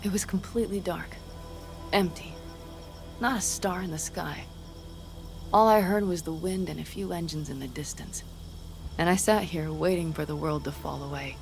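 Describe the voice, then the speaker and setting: A woman's voice: on the low side, moderate pace, gravelly, pauses often. An actress playing a tough but anxious young woman nomad mercenary in a video game, earnest and blunt. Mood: pensive. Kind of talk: dramatic video game acting. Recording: music behind the voice.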